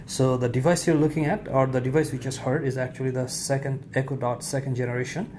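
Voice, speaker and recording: A man hosting a tech tutorial, talking casually off camera in an indoor room with frequent fillers and pauses. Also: medium pitch, background noise. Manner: relaxed, halting with frequent "uh" fillers. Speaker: tech video host.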